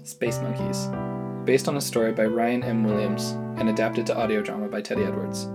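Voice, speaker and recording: Male announcer over clear piano music. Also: lowish voice, steady tone, a little fast. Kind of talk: scripted promo and title narration. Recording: music under the voice. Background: piano and keyboard music.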